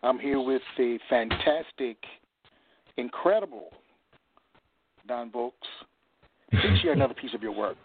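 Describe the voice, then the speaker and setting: A man's voice: medium pitch, unhurried, long pauses. A man hosting a radio interview, effusive and full of praise for his guest. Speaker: radio show host. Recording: tinny phone-like line with faint noise.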